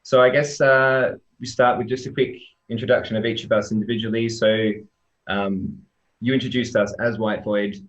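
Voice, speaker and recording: Aussie guy, really deep voice, casual guest talk over muffled lo-fi call audio. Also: fairly even intonation, moderate pace. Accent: Australian. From Australia. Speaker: guest software developer. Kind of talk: informal presentation on a video call. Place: remote online live stream. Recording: dull lo-fi video call audio.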